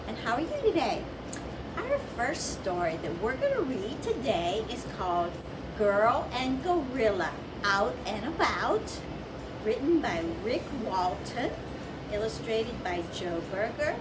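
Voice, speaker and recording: Female children's storyteller, slow and very lively in pitch, speaking straight to the kids; distant, noisy recording. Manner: slow, expressive, addressing children directly. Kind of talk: read-aloud storytime for young children. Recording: distant mic with loud background noise.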